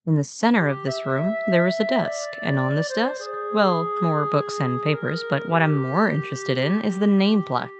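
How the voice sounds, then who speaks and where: A woman's voice: low voice, animated, normal speed, hardly any pauses, over loud music. A woman co-narrating a fiction podcast in a scripted but conversational storytelling style, playful and informal with dry asides.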